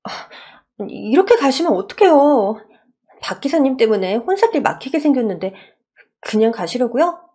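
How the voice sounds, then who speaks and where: A woman's voice: high-pitched, very animated, normal speed, dark tone, slightly rough, voice pushed up, exaggerated voice. A woman voicing a feisty young office worker in a radio story, assertive and plain-spoken. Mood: flustered, pressing. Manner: assertive, pressing.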